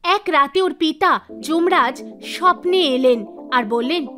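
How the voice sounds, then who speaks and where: A woman narrator reading a scripted animated story for children. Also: high-pitched, slow.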